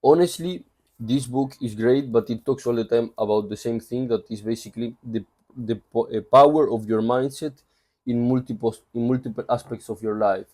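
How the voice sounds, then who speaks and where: A man's voice: medium pitch, moderate pace, mellow. A man with a Spanish accent summarizing a book in a loose, conversational way, full of asides. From Spain. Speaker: book reviewer. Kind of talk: casual book summary talk.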